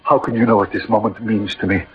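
A man's voice: fairly low pitch, steady tone, fast, soft background music, close to an everyday voice. Man playing Cyrano, an ardent, lyrical poet-soldier. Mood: rapturous, tender. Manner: ardent, lyrical, theatrical delivery. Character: Cyrano, eloquent lovelorn poet-soldier, romantic and noble. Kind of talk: 1940s-50s radio drama acting. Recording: dull old radio recording.

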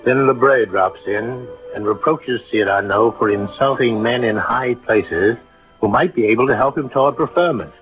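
A man's voice: mid-range voice, moderate pace. A man giving scripted narration for an old-time radio drama. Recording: dull vintage radio recording. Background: music.

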